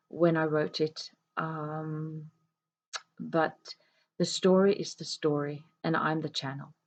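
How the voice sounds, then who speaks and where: A woman whose first language is Norwegian, an author talking to camera in a slow, hesitant way with many pauses and fillers. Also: low voice, darkish tone, muffled recording. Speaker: self-published author. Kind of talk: reflective talk to camera.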